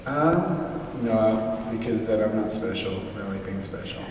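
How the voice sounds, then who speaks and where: A young man answering interview questions in a halting way with many fillers. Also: fairly low pitch, expressive. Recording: distant mic in a reverberant hall with noise.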